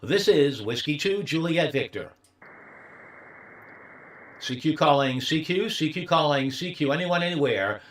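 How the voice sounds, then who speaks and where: Higher-pitched man calling out on ham radio. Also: expressive, unhurried, long pauses, fairly bright tone, a little hiss or hum. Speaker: amateur radio contest operator. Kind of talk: repeated radio contest calls in phonetic alphabet.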